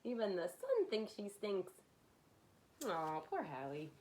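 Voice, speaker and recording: A woman reading a picture book aloud to young children in an expressive, dramatic way, like a kindergarten teacher with playful asides. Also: medium pitch, takes it slowly, noisy background.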